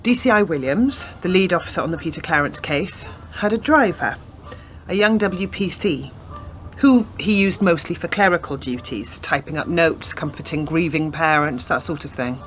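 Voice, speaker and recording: Woman acting a low-pitched, earnest detective. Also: animated, normal speed, slight background noise. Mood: careful, probing. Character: persistent young female police detective, earnest, probing. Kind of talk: radio play acting.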